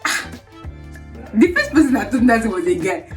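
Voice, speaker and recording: Animated young Nigerian woman vlogger chatting. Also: a little high, fast talker, faint music behind. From Lagos, Nigeria. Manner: animated, exclamatory, acting out reactions. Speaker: vlogger, international student. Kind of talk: casual chatty storytelling to camera.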